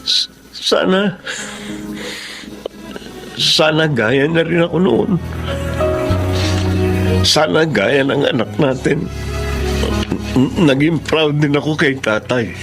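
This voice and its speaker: Humble farmer son in a tv drama, slow hesitant pleading talk, music behind him. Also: male voice, a little high, lively intonation, vocal fry, heavily acted. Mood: choked, regretful. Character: remorseful grown son and father, humble rice farmer. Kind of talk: Filipino television drama acting. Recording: music under the voice.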